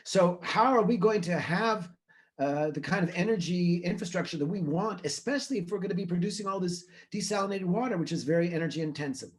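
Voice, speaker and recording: A man's voice: high-pitched, a little fast. A middle-aged man from Israel, a researcher answering interview questions in an earnest, thoughtful way with many fillers and restarts. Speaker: guest speaker, environmental researcher.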